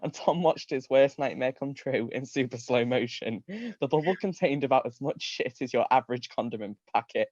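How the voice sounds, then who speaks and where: A man's voice: medium pitch, moderate pace, a little mellow, highs cut off. A man giving a live comedic reading of a story, amused, often breaking into laughter and tripping over his words. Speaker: reader of comic fan fiction.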